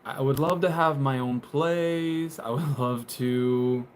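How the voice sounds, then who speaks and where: A man's voice: medium pitch, slow pace, a little hiss or hum. A man in his twenties chatting casually on a live stream, rambling with lots of fillers and restarts and laughing often, filmed on a phone on a tripod in a small closet. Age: 20s. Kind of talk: casual live stream chat, reading viewer comments. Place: small bedroom closet, indoors.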